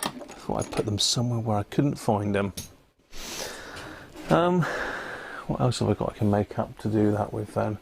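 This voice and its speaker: A British man with a fairly low, creaky voice chats casually on a live hobby stream. He mutters to himself in a rambling, self-mocking way, with clear noise behind him. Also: moderate pace, pauses often, darkish tone. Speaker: livestream host showing radio gear. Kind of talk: casual live chat, thinking aloud.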